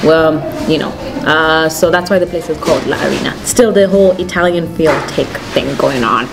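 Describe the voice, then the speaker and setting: A young adult woman with a Kenyan accent, casually chatting as a food vlog host in an informal way. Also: fairly low pitch. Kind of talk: casual restaurant review chat to camera. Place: indoors at a restaurant table. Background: music.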